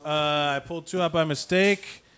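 A man's voice: on the high side, fairly even intonation, moderate pace, fairly bright tone, smooth. An American man hosting a live show in casual, conversational chat. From Cuban immigrant community in the United States. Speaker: host of a live comedy show.

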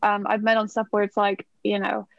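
A woman's voice: medium pitch, completely flat delivery, gravelly, no room sound, lo-fi sound. A woman from the Southern United States, a TV writer talking as a podcast guest in a casual, filler-heavy way. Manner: chatty, many "like" fillers, quick asides. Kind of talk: casual chat.

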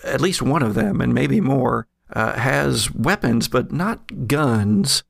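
Male game master for a horror tabletop podcast: deeply creaky voice, deliberate scene-setter, dry recording. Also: expressive, no room sound. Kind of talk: narrating a tabletop horror game, with casual chat.

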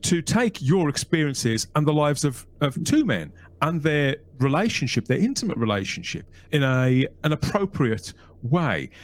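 A male podcast host with a lively, swooping pitch. He steers the interview with leading questions and tags like "isn't it?", in a conversational way. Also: normal speed. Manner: animated, leading questions with tag questions.

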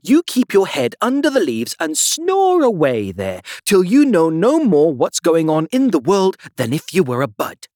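A woman voicing a bossy, sharp-tempered talking flower in a storybook reading. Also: on the low side, sing-song, takes it slowly, no echo, clean studio sound, pitched above the performer's own voice. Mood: scolding.

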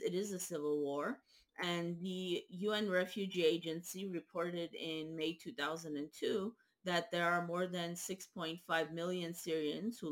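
A woman interviewer who reads a formal introduction and asks questions at a measured pace. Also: lowish voice. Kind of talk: reading a scripted introduction.

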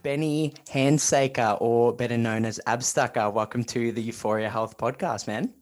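A man's voice: mid-range voice, steady tone, moderate pace, faint music behind. A man hosting a podcast, enthusiastic and pumped up, calling his guest dude. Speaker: podcast host. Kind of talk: casual interview talk.

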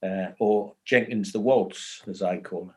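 A man, the show's writer, chatting fondly in a halting, rambling way. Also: fairly low pitch. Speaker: writer of the show. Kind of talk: casual reunion chat.

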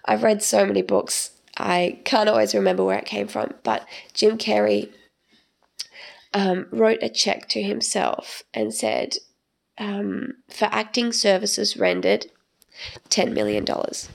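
A young woman talks through a solo podcast episode in a loose, rambling monologue full of ums. Her voice is heavily gravelly and croaky because she is getting over a cold. Her pitch sits in the middle range. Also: expressive, unhurried. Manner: rambling, chatty, many ums. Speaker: podcast host.

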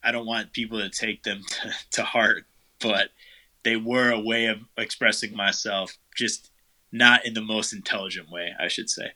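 A man's voice: lowish voice, normal speed. A man, the guest vocalist, answering interview questions casually, with lots of fillers and loose rambling. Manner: relaxed, rambling, many fillers.

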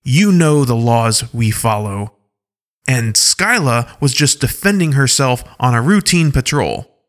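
A man's voice: medium pitch, animated, a little slow, close to an everyday voice. A man voicing a stern, proud wolf-tribe leader who demands respect. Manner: stern, commanding.

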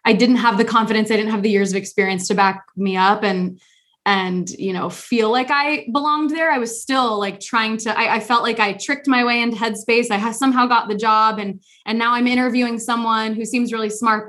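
A woman's voice: fairly high pitch, fairly even intonation, brisk, a bit bright. A young woman hosting a podcast, talking casually and openly, with lots of filler words. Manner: chatty, frequent "like" fillers. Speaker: podcast host. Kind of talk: casual chat.

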